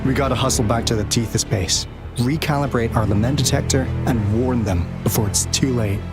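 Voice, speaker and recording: A male actor voices a composed field researcher in a video game. He explains things in a measured, mid-pitched voice. Music plays clearly behind him. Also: lightly acted. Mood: earnest, urgent. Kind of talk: video game story dialogue.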